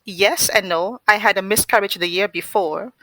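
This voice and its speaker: A woman's voice: mid-range voice, moderate pace, fairly bright tone, rough recording. A woman in her forties from Suriname whose first language is Dutch, telling her story candidly as a podcast guest. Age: 40s. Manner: candid, chatty, with small restarts. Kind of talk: casual interview.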